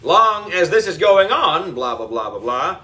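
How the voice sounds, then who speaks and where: A man's voice: a little high, big pitch swings, measured pace, bright, forceful tone, recorded from a distance, heavily acted. A man voicing a pompous, long-winded mayor in a children's audiobook, declaiming like a politician at a podium. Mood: speechifying. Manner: declamatory, droning political speechmaking. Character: pompous, long-winded male town mayor making speeches.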